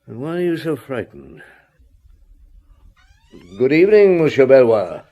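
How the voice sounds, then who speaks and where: An actor in an audio drama playing a shrewd, relentless police inspector, dry, authoritative and probing. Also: male voice, medium pitch, sing-song, measured pace, muffled recording. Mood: pressing, shrewd. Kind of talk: radio drama acting.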